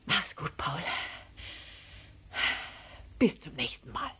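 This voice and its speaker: A woman voicing a frightened, gentle big blue elephant in a storybook, panting and gasping as it speaks. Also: sits high, very animated, normal speed, gravelly, voice pushed up, exaggerated voice. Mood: breathless, relieved.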